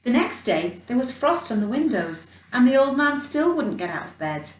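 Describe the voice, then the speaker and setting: A woman reading a children's picture book expressively, in an animated storyteller's manner with chatty asides. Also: a little high, moderate pace, a little hiss or hum. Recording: roomy echo, distant microphone.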